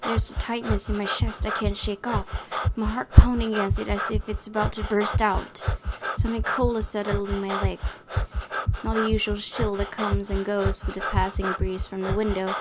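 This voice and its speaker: Slightly raspy young woman reading a horror game. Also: mid-range voice, level tone, normal speed, low-quality recording. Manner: hesitant asides with frequent fillers, dramatic hushed reading. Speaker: let's play host. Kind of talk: reading a horror visual novel aloud with reactions. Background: loud game music.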